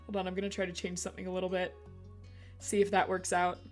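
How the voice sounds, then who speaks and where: A woman streamer with a slightly hoarse voice, chatting casually and giggling as she talks to her chat. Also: on the low side, monotone, fast talker, soft background music.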